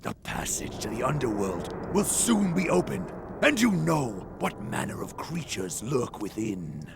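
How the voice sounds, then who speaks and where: An actor playing a cruel, mocking warlord general in a video game, with a gravelly, taunting delivery. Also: male voice, mid-range voice, very animated, noisy background. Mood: threatening. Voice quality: gravelly, creaky. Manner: slow, taunting, commanding. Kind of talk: video game cutscene acting.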